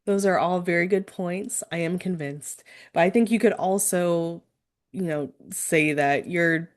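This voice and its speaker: A woman with a fairly low voice hosts a relaxed interview. She is upbeat and supportive and cheers her guest on. She laughs easily as she talks. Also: a little slow. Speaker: interview host. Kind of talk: casual interview conversation.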